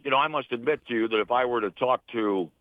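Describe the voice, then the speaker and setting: A man's voice: medium pitch, fast talker, dry recording. An American man, middle-aged or older, speaking as an interview guest in an earnest, emphatic conversational way, repeating phrases for stress. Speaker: interview guest, sports announcer and broadcasting teacher. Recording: tinny phone line.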